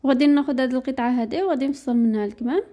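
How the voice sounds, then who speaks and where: Woman, Moroccan Arabic accent, sewing teacher doing a voice-over; quick, matter-of-fact, high and mellow. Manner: brisk, matter-of-fact, reading out measurements. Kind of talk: step-by-step sewing tutorial voice-over.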